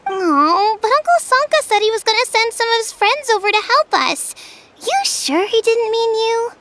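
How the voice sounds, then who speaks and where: A woman's voice: very high-pitched, expressive, normal speed, a bit bright, slight background noise. A woman voicing an earnest, anxious little village child in a video game, with a light, childlike voice. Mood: dismayed. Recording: music behind the voice.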